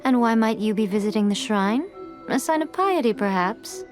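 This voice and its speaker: Game priestess, played by a woman: elegant and self-assured, sly polish, slightly high register, clear music bed. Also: expressive, moderate pace, darkish tone, natural voice. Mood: playful, amused. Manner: poised, playful, refined. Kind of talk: video game cutscene acting and narration. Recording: music behind the voice.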